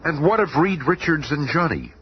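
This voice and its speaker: A man's voice: on the high side, very animated, normal speed, very noisy. A man acting as a bombastic, suspenseful comic-book storyteller, narrating a superhero radio drama. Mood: dramatic. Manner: hyped, suspenseful, theatrical. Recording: muffled old radio recording, music behind.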